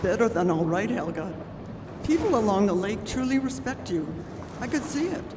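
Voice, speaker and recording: Woman acting genteel sympathetic lady, polite, articulate, mid-pitched, radio play, echoing noisy hall. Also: very animated, normal speed, close to an everyday voice. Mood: admiring, teasing. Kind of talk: stage radio drama acting. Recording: reverberant hall with clear background noise.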